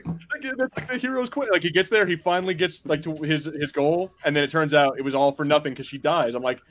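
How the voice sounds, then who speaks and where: A man's voice: fairly high pitch, a little fast, a bit bright. A man talking casually as a panelist at a film watch party, explaining things like a keen fan with lots of "like.". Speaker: podcast panelist.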